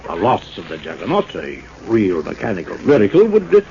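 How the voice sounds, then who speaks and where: An actor playing a shrewd, calculating industrial promoter in a 1940s radio drama, speaking with pompous, halting deliberation. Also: male voice, sits low, huge pitch swings, a crowd in the background, full character voice. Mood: calculating, persuasive. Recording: muffled 1940s radio recording.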